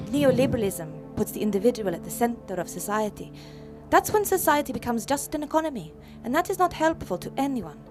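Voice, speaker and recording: An actress plays an earnest feminist activist woman who lectures. Her voice is highish and swings widely. Soft music sits behind this radio play. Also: expressive, a little fast, natural voice. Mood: earnest, lecturing.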